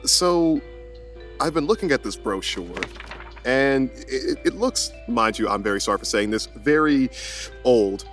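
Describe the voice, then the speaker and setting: A man chats and roleplays as a player in a tabletop podcast. He is medium-pitched and hesitant, circling back to correct himself, with music in the background. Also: lively intonation, a little slow, a bit bright. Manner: hesitant, repeats words, self-correcting. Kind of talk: casual roleplay podcast chat. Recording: music under the voice.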